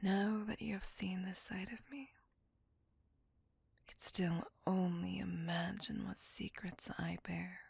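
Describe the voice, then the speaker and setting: An ancient, seductive vampire woman played by a female voice actor murmurs in a very breathy, lowish whisper, close and dry. Her delivery is formal and slow, brooding and possessive, with menace under the tenderness, in the manner of an intimate ASMR roleplay. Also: steady tone, no room sound, full character voice. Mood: tormented, longing.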